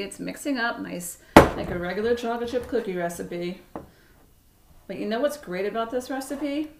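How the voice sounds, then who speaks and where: A middle-aged woman giving a relaxed, chatty cooking demonstration in her home kitchen, talking through each step as she mixes. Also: mid-range voice, gravelly, a little hiss or hum. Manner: relaxed, chatty step-by-step instructions while mixing. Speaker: cooking instructor. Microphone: fixed camera across the counter. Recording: echoey kitchen with clattering dishes.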